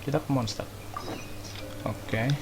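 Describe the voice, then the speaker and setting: A man's voice: mid-range voice, fairly even intonation, a little slow, a little mellow, a little hiss or hum. A young man with an Indonesian accent giving casual, slangy let's play commentary. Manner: informal, slangy. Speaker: gaming YouTuber.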